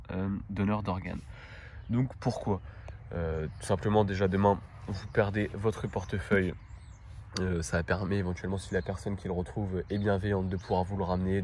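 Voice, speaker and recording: A man with a deep, low voice hosts a casual presentation to camera. He speaks informally and haltingly, hesitating as he explains things. Some ambient noise sits behind his voice. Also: darkish tone. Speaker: video host presenting everyday-carry gear.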